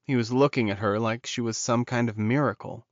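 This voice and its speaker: A man narrates a fantasy romance audiobook at a medium pitch. The recording is dry and close, with a slightly dull top end. He reads scripted prose as a storyteller. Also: steady tone, brisk, no echo.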